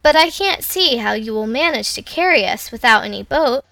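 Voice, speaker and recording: A man's voice: very high voice, lively intonation, moderate pace, gravelly, bright, forceful tone, voice pushed up, heavily acted. A man voicing a spirited but easily frightened little girl in a storybook reading. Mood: hesitant.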